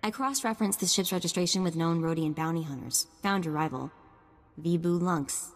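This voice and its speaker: An actress playing a curious, wry sidekick in a video game, conversational and informative. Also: female voice, lowish voice, a little fast, in a large hall. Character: curious, wry female sidekick of a bounty hunter.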